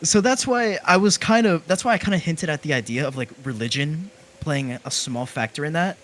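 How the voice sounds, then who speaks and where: A man's voice: fairly high pitch, a little fast, fairly bright tone, slight background noise. A young man in casual conversation, slangy and emphatic in how he makes his points. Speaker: streamer's guest on a call, fellow YouTuber. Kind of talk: casual chat.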